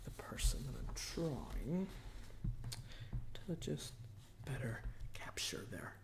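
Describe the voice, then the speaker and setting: Male ASMR roleplayer, whispered delivery, chatty portrait-artist patter in short, halting phrases. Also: mid-range voice, sing-song, vocal fry. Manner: chatty, hesitant, pausing between short phrases.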